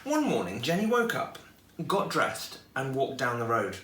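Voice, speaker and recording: A man reading a children's story aloud in an expressive storytelling style. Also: mid-range voice, measured pace. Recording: echoey room, distant microphone.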